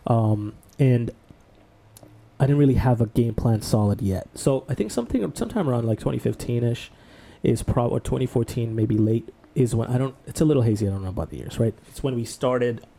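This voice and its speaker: A man from Bangladesh, co-host of a podcast, telling his life story in a relaxed, rambling way. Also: medium pitch, a little fast, creaky. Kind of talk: casual podcast conversation.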